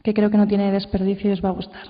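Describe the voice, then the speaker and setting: A woman's voice: mid-range voice, monotone, fast talker. A woman presenting at an event, giving an informal spoken introduction. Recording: muffled, reverberant hall.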